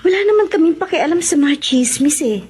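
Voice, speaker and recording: A woman's voice: high-pitched, lively intonation, fairly quick, darkish tone. A woman acting a tender, self-effacing young sweetheart in a Tagalog radio drama, speaking earnestly. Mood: earnest, resigned.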